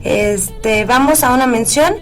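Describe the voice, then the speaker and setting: A woman's voice: on the high side, slow, a bit bright, faint music behind. Mexican woman hosting a radio interview. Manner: chatty, with fillers and restarts. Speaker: radio show host.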